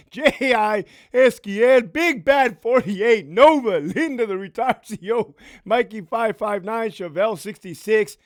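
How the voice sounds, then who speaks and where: A man's voice: sits high, slow, no echo, clean studio sound. A man hosting his own channel, a former prison officer talking in a brash, profane, streetwise way and laughing often. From California. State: losing his voice. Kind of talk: casual profane monologue, reading supporter names.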